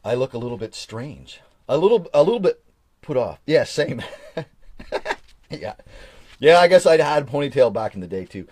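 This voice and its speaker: A man's voice: medium pitch, sing-song, moderate pace, pauses often, darkish tone, dry recording. Guy in his 40s rambling on a live stream, bouncy up and down voice, dry close sound. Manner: chatty and rambling, with frequent restarts and chuckles. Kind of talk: casual live chat, reading from an old journal.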